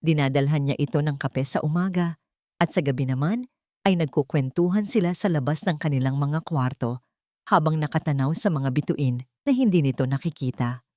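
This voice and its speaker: A woman's voice: low voice, moderate pace, mellow, no echo, clean studio sound. A woman narrating a scripted, dramatic romance story.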